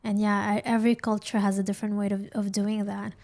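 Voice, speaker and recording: A woman's voice: mid-range voice, little pitch movement, fast, darkish tone. A woman hosting a casual conversational interview, affirming what her guest says as she talks. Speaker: podcast host.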